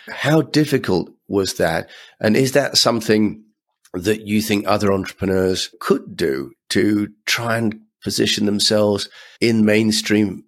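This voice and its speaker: A man hosting an interview podcast, friendly and conversational, with fillers like "you know". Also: lowish voice. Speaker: podcast host.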